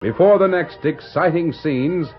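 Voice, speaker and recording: A man's voice: on the high side, a little slow, faint music behind. A man narrating dramatically as the announcer of a 1940s radio western. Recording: muffled vintage radio broadcast.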